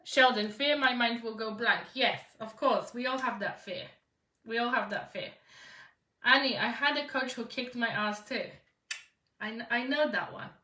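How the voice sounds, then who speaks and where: A woman's voice: fairly high pitch, moderate pace, sharp tone, echoey room, distant mic. A young woman from Poland with a Polish accent, a business coach talking live to viewers, reading their comments and encouraging them with a frequent "right?". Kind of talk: live-stream talk to viewers.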